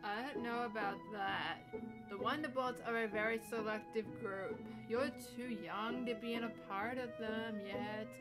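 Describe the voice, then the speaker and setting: A woman playing a doubtful but caring older sister mare in an amateur cartoon fan audio drama, speaking in a measured way. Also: a little high, steady tone, over loud music, close to an everyday voice. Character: sceptical athletic elder sister mare pony, caring.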